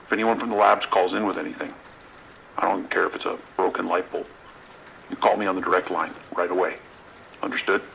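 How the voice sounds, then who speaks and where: A man's voice: low voice, steady tone, fairly quick, vocal fry, pauses often, fairly bright tone, slight background noise, exaggerated voice, poor audio. A man playing a stern, authoritative sheriff's lieutenant with a clipped delivery in an audio drama. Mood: guarded, commanding.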